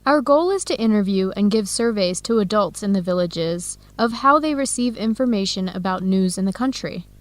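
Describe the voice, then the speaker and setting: An actress with an American accent playing an eager, friendly researcher abroad in an educational radio drama, speaking with enthusiasm. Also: female voice, medium pitch, lively intonation, normal speed, hardly any pauses, no room sound, natural voice. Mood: businesslike, upbeat. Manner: enthusiastic, encouraging. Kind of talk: radio drama acting, clear educational dialogue.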